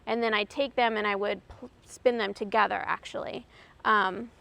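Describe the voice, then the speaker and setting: A woman in her thirties from Maryland, a fiber artist explaining her craft in a relaxed, chatty way with frequent ums. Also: medium pitch, moderate pace, creaky. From Maryland, USA. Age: 30s.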